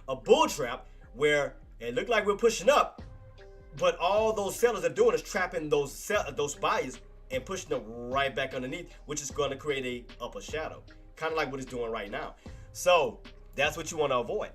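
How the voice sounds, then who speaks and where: A man's voice: fairly high pitch, expressive, moderate pace, a bit bright, soft background music. Congested man talking through stock charts. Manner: casual, repetitive, talks to chat as "boss". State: nasal congestion. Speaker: trading livestream host. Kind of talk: live stock chart commentary.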